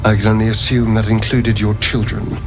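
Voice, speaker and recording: An actor voicing a sardonic, guilt-ridden male vampire spawn in a video game, weary, defensive and quietly intense. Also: on the low side, level tone, brisk, over music, close to an everyday voice. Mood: grim.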